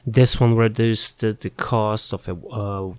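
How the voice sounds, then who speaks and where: A man's voice: lowish voice, fairly even intonation, measured pace, smooth, no room sound. A man giving a casual, unscripted game build walkthrough in a rambling, informal way, thinking aloud as he goes. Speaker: gaming video creator presenting a character build.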